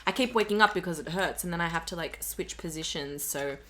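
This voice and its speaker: A woman's voice: lowish voice, fairly quick, fairly bright tone. A young woman co-hosting a casual chat, chatty and laughing, tossing in slang like mate. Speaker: podcast co-host.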